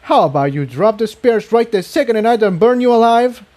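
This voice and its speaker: A man's voice: high voice, expressive, fairly quick, a little mellow, voice pushed up. A man voicing a bold, menacing female unicorn in an adventure story. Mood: cool menace. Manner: menacing, confident.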